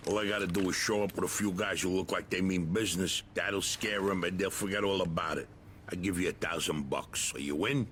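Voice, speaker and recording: A man's voice: sits low, fairly even intonation, brisk, fairly bright tone, a little hiss or hum. An actor playing a crooked, bullying mob foreman in a video game cutscene, crude and threatening. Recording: game audio with music behind.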